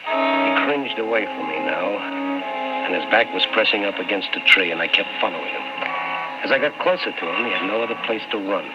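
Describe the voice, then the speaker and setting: A man's voice: on the low side, wildly swooping pitch, brisk, loud music behind the voice. An actor playing an impatient, tough, sceptical city man in an old-time radio drama, brusque and insistent. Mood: uneasy narration. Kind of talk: old-time radio drama acting with first-person narration. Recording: muffled, noisy old-time radio recording.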